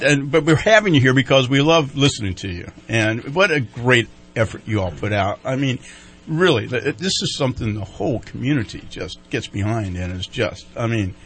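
A man's voice: medium pitch, moderate pace, fairly bright tone, slight background noise. A man hosting a morning radio show in a crowded studio, chatting casually and laughing often as he coaxes guests to the mic. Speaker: radio host.

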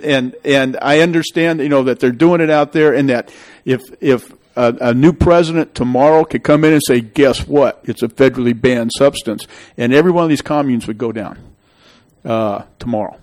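A man's voice: medium pitch, darkish tone. A middle-aged man hosting a public meeting, rambling and emphatic as he gives his opinion. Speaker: local official hosting a public meeting show. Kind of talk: town meeting discussion, opinionated.

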